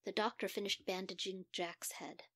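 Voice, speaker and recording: A woman narrates a children's story from a script. Her voice sits at a middling pitch, and the recording is dry, with very little room around it. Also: fairly even intonation, normal speed, a bit bright, no echo.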